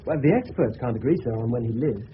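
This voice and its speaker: An actor as a quick, deferential English policeman, tinny. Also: mid-range voice, expressive, close to an everyday voice. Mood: dutiful. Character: deferential, matter-of-fact English police subordinate reporting to superior. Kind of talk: 1970s radio drama acting. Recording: thin narrow-band old radio recording, hiss.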